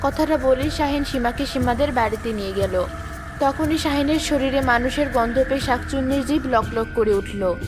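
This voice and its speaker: A woman's voice: sits high, moderate pace, over music. A woman giving scripted narration of a children's cartoon fairy tale.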